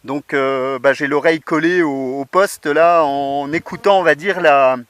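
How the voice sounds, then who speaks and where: A man's voice: on the high side, fairly even intonation, unhurried, a bit bright, clear, resonant tone, no room sound. A man chatting casually and hesitantly as an amateur radio operator making contact from a portable outdoor station.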